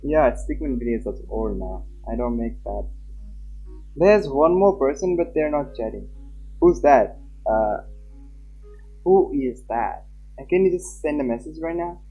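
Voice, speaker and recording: A man's voice: mid-range voice, animated, a little slow, long pauses, breathy edge. A young man chatting informally on a game livestream and reading text aloud. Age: young adult. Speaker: live streamer. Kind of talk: casual game stream chat and reading aloud. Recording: slightly muffled, lo-fi stream mic. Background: game music.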